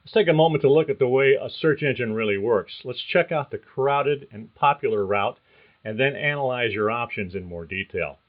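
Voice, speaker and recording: Male host reading career advice from a script, measured and explanatory; thin, phone-like recording. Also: medium pitch. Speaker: podcast host. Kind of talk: scripted narration.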